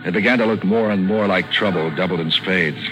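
An actor in a 1940s radio drama playing a cynical, wisecracking troubleshooter, narrating and talking in deadpan slang. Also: male voice, low-pitched, a little fast, over music, close to an everyday voice, rough recording. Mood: wry, weary. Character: cynical, wisecracking hard-boiled male private troubleshooter, narrating. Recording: vintage 1940s radio recording.

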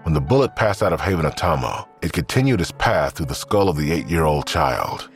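Male narrator for a crime audio drama: very low register, animated contours, grave measured read, faint score. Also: soft background music. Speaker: narrator of a fiction podcast.

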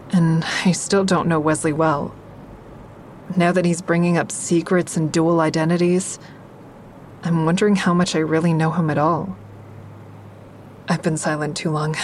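Actress as guarded, wry female lead of a fiction podcast, lower register, intimate first-person telling, some background rumble. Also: a little mellow, natural voice. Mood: wistful, confiding. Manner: confiding, dry, introspective. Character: guarded, wry young woman narrating her troubled romance. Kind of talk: intimate first-person audio drama narration and dialogue.

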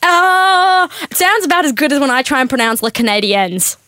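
A woman's voice: high voice, normal speed, bright, forceful tone, dry recording. A woman in her twenties from Australia with an Australian accent, telling chatty stories as a podcast guest host, full of "like" and "um". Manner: chatty, storytelling, many fillers like "like" and "um". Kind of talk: casual podcast chat.

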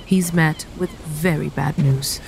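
A woman's voice: low-pitched, fairly even intonation, slow pace, vocal fry, a little mellow, slight background noise. A woman narrating scene links in a plain, explanatory way. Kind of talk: scripted narration.

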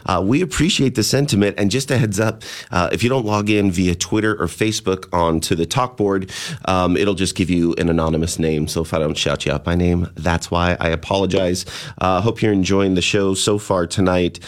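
A man hosting a casual radio music show, talking in a relaxed way with many "uh" fillers. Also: low-pitched, brisk. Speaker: radio host.

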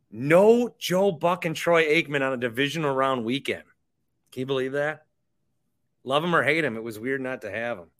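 A man's voice: medium pitch, sharp tone. A man hosting a sports podcast, talking conversationally and with opinion, repeating phrases for emphasis. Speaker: podcast host. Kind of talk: sports podcast talk with sponsor reads.

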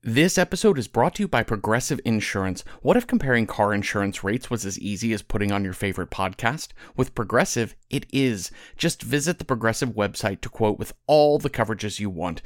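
A man's voice: medium pitch, dry recording, clean studio sound. A man presenting casual, scripted promotional spots for a podcast in a wry, conversational manner.

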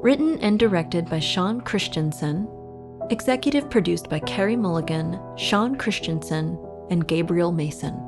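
Credits announcer: woman with a fairly low voice, reading from script over music. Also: expressive, normal speed. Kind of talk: scripted podcast credits read. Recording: music bed under voice.

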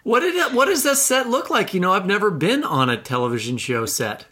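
A man's voice: high-pitched, big pitch swings, brisk, pushed and bright, slight background noise. A man hosting a podcast, asking casual interview questions in a conversational way.

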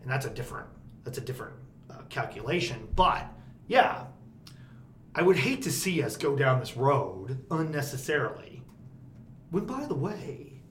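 A man hosting a podcast about energy policy, talking casually with a loud, projecting voice and plenty of emphasis. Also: a little high. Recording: roomy echo, faint background noise.

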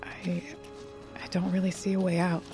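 A woman's voice: lowish voice, monotone, moderate pace, warm tone, natural voice. A woman playing a pragmatic, protective government agent in an audio drama. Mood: resigned, grave. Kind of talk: audio fiction acting. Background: music.

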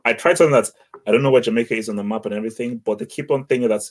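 A man from Nigeria with a Nigerian accent, co-hosting a casual football chat, blunt and animated, often repeating words as he gets going. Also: mid-range voice, rapid-fire. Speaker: football podcast co-host.

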